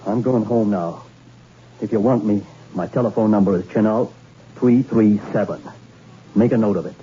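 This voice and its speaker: A man's voice: on the low side, normal speed, dark tone, breathy edge. An actor playing a hard-boiled male investigator in a 1960s radio drama, speaking in a clipped, dry, persistent way. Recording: dull, faintly hissy old radio recording.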